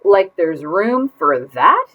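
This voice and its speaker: Woman reading as dramatic put-upon goldfish, very animated. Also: medium pitch. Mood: exasperated. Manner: exaggerated, exasperated, drawn-out emphasis. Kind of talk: expressive children's picture-book read-aloud. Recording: dull lo-fi sound.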